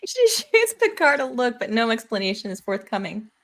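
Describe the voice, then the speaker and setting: Animated woman narrator with higher voice. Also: moderate pace. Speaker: narrator reading stage directions. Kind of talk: live script table read narration.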